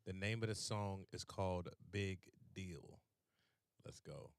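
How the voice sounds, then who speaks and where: A man hosting a casual music interview, relaxed and quick, laughing often. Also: sits low, smooth, no room sound, studio quality. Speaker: podcast host.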